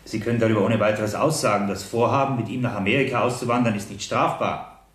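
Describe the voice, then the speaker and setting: An actor playing a formal, coolly courteous state prosecutor in a radio play, probing a witness in measured legal German. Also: male voice, on the low side, steady tone, close to an everyday voice. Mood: coolly insistent. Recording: echoey 1970s radio drama studio.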